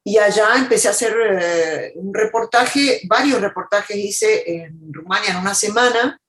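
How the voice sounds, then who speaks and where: A woman's voice: medium pitch, moderate pace, a bit bright, a little hiss or hum. A woman speaks as an interview guest, a journalist looking back on her work. Her delivery is earnest and reflective, with a mid-range voice. She talks in an unscripted, conversational way. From United States, Argentine family.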